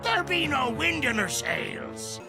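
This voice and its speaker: A man playing a theatrical cartoon bus driver who talks like an old salty pirate in a gravelly voice. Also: very high-pitched, big pitch swings, slow pace, heavily acted. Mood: salty, dramatic. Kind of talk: animated cartoon acting. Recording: loud music behind the voice.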